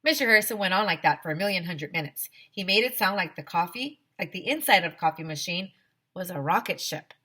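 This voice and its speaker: An American woman, a teacher, reading a children's story aloud in an expressive way. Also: fairly low pitch, fairly quick, slightly rough. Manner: expressive, acting out dialogue.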